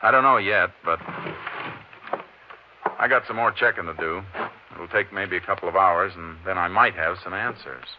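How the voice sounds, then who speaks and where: An actor playing a steady, methodical Texas Ranger investigator in a terse, measured way, in 1950s radio drama style. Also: male voice, sits low, lightly acted. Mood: pondering, deliberate. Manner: terse, measured, matter-of-fact. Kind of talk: 1950s radio western detective drama acting. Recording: dull, noisy old 1950s radio recording.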